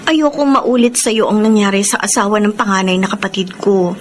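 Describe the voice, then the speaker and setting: An actress playing a worried, suspicious, nagging wife with a Filipino accent in a radio drama. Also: female voice, medium pitch, fairly even intonation, normal speed, slight background noise, natural voice.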